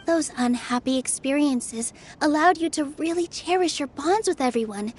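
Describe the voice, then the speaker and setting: An actress playing a kind, earnest young woman in a video game, speaking in a soft, reassuring way. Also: sits high, moderate pace, slight background noise, natural voice. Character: gentle young female dancer, kind and earnest. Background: game music.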